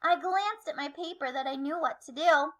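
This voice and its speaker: A woman reading a children's story aloud in expressive, rhythmic, sing-song rhyme. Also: high voice, normal speed. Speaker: storybook reader.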